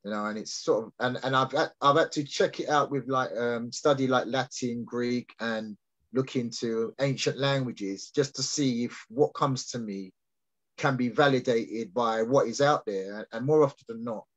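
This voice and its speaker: A man's voice: medium pitch, moderate pace, lo-fi sound. A man explaining his ideas on a video call, hesitant with many restarts and fillers. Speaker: guest speaker.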